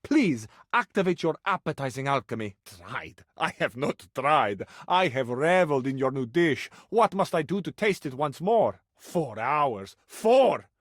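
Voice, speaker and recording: A man's voice: on the high side, big pitch swings, a little slow, few pauses, fairly bright tone, dry recording, studio quality, full character voice. A man playing a flamboyant, vain Italian lover in a video game, speaking with an Italian accent in an effusive, florid, romantic manner. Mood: delighted, then pleading, impatient. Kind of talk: theatrical video game character acting.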